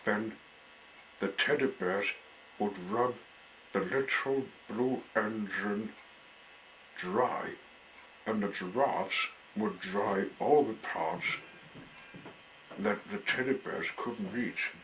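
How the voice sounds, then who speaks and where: Male children's storyteller, unhurried deliberate phrasing, crisp bright tone, faint noise in the background. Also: fairly low pitch, rough recording. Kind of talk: reading a children's story aloud.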